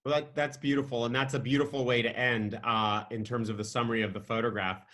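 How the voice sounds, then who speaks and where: A man's voice: mid-range voice, fairly even intonation, quick pace, fairly bright tone. Friendly male interviewer, appreciative. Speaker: interviewer, host.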